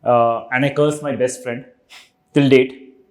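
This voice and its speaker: A man's voice: medium pitch, fairly even intonation, measured pace. A man in his forties with an Indian English accent, a podcast guest rambling through his career story in a chatty way. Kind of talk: casual career interview.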